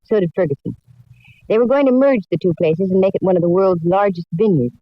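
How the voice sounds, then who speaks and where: Woman acting shrewd female detective, low-pitched for a woman, self-assured, wry wisecracks, 1940s radio play, muffled vintage recording. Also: a little fast, no echo, lightly acted. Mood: confident, revealing. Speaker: lead actress and narrator.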